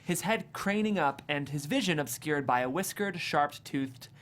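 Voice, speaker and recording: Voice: mid-range voice, animated, normal speed, fairly bright tone. A narrator reading comedic screenplay stage directions aloud at a table read in a vivid, amused way.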